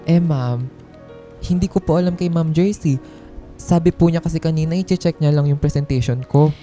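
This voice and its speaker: A woman's voice: deep voice, moderate pace, dark tone, close to an everyday voice. A woman playing a timid, wronged office worker in a radio drama, speaking hesitantly and deferentially over music. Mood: nervous, respectful. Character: timid, wronged female office employee, downtrodden. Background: background music.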